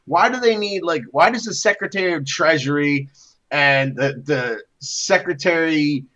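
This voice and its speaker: A man co-hosting a casual political talk show, animated, repeating words and saying "you know" a lot. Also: a little high, unhurried, lo-fi sound. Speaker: podcast co-host.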